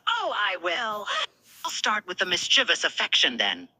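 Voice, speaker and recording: A playful, seductive cartoon villain voiced by a woman in a romance fan dub. The voice is sharp and very bright, with a husky edge. He sounds smitten and keeps teasing. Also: medium pitch, wildly swooping pitch, moderate pace, dry recording, exaggerated voice. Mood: teasing, flirtatious.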